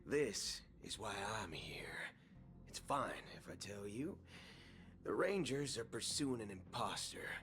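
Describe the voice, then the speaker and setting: A brash space gunslinger with a low voice and very animated intonation. He is folksy and theatrical, a video game character heard over clear background music. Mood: brash, confiding. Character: cowboy galaxy ranger man, brash, folksy, dramatic gunslinger.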